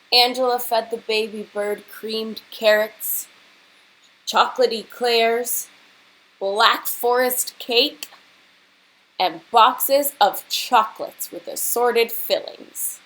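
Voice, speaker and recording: A woman teacher reading a picture book aloud to children and pausing to prompt them with questions, with hiss on the recording. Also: fairly high pitch, fairly bright tone, rough recording. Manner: instructional, pausing to prompt young listeners.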